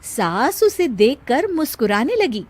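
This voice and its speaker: High-pitched woman storyteller. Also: big pitch swings, unhurried. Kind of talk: scripted moral-story narration.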